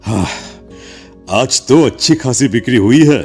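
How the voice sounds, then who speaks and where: A man playing a skeptical, easily rattled village sweet-shop owner in a Hindi animated horror story, with a dramatic, exasperated manner. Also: medium pitch, measured pace, natural voice. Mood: pleased, busy. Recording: music behind the voice.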